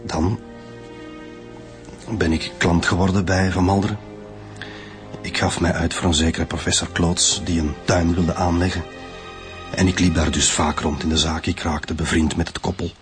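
A man plays a bitter, articulate Flemish murderer making his confession in a radio play. His voice is very low, with music playing behind it. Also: level tone, normal speed, long pauses, natural voice. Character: male guest lecturer turned murderer, confessing, articulate and bitter. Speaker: actor. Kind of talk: radio drama acting.

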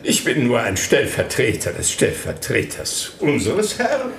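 A man's voice: mid-range voice, huge pitch swings, measured pace, creaky, crowd noise, heavily acted. A man playing an obsequious, flustered German archbishop in a radio play, hesitant and stammering as he tries to please. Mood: solemn gratitude. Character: flustered, eager-to-please German archbishop entertaining a noble guest. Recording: roomy studio sound, music behind.

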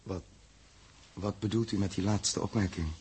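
An actor playing an earnest, troubled male spaceship captain in a 1960s Dutch radio drama, halting as he thinks aloud. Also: lowish voice, steady tone, a little mellow, breathy edge. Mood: troubled, hesitant.

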